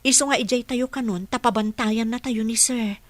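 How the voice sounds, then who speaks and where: A woman's voice: on the high side, steady tone, normal speed, no echo, lightly acted. An actress playing a worried, practical working mother in a radio drama, earnest and respectful in manner. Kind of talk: Ilocano radio drama acting.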